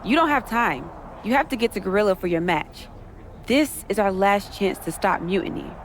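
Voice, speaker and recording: A concerned, businesslike female wrestling teammate acted by a woman in a game story scene. Her pitch is average, with light noise behind. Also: animated, moderate pace, close to an everyday voice. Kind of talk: scripted game cutscene dialogue.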